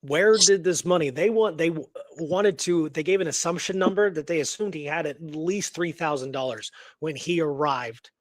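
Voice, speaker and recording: A man's voice: on the high side, moderate pace, few pauses, no room sound. A man co-hosting a radio show in casual discussion, musing aloud with rhetorical questions and tags seeking agreement. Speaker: co-host of a history radio show. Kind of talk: casual conversational discussion of a historical mystery.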